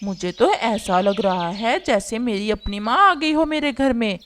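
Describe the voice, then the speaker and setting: A woman's voice: fairly high pitch, lively intonation, measured pace, fairly bright tone, slight background noise. A woman voicing a hapless, henpecked male crow in a Hindi cartoon, with a whiny, ingratiating manner. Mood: eager to please. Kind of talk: Hindi cartoon character acting.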